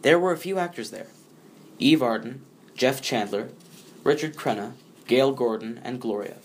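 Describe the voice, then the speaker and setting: A man's voice: medium pitch, lively intonation, normal speed. A man narrating a biography from a script.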